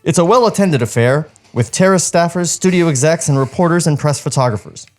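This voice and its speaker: A man's voice: on the high side, lively intonation, a little fast. A man co-hosting a podcast, reading script directions aloud with sarcastic asides.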